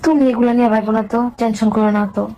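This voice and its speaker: A woman's voice: on the high side, fairly even intonation, very fast, dark tone, muffled recording. A woman in a casual phone conversation, answering in a reassuring, brief way.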